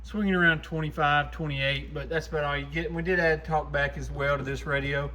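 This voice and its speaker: A man's voice: a little high, steady tone, brisk. A man giving an informal, plain-spoken, hands-on demo as the host of a repair shop channel. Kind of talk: casual hands-on demo narration. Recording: clearly noisy shop recording.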